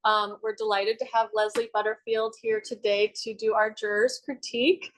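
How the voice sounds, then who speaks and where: A woman's voice: mid-range voice, flat delivery, moderate pace, slight background noise, low-quality recording. A woman host welcoming a gallery audience, speaking with fillers. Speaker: art center staff host. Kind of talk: welcoming remarks.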